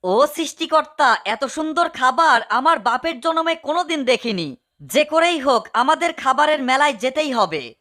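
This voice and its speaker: Woman as little cartoon creature, high, sharp, wonderstruck, exclaiming, delivery. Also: animated, normal speed. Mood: awestruck. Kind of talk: cartoon fan dub.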